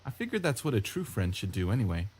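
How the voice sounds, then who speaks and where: A man's voice: lowish voice, huge pitch swings, quick pace, slightly rough, heavily acted. A man reading visual novel narration aloud as an introspective, wry sixteen-year-old schoolboy. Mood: reflective. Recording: loud guitar music under the voice. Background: guitar background music.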